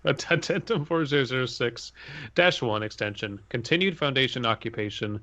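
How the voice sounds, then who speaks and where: A man's voice: medium pitch, moderate pace, bright, forceful tone, muffled recording. A man co-hosting a podcast, chatting casually with comic asides and reading entries aloud. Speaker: podcast co-host.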